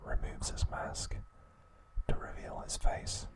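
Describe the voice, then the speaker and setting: Male, full whisper, breathy, slow deliberate phrasing, scripted ASMR narration. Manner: slow, deliberate, soft, broken into short phrases. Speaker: ASMR narrator recapping a show.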